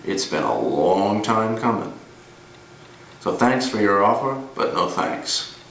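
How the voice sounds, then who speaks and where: A man performing a dramatic monologue as a gruff, weary older ex-cop, grimly menacing, telling his tale deliberately with pauses. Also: fairly low pitch, big pitch swings, a bit bright, full character voice. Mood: firm, dismissive. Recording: roomy, distant mic with noise.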